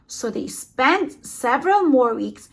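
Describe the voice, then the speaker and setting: A woman reading a children's picture book aloud in an expressive storytelling manner, giving extra emphasis to sound words. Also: high-pitched, slow pace, vocal fry. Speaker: storybook reader.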